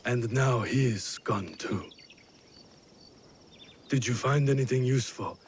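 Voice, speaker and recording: An actor playing a grave, weary and cautious samurai strategist in a video game, speaking in a measured, solemn manner. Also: male voice, medium pitch, slight background noise, close to an everyday voice. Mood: somber. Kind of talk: dramatic video game cutscene acting.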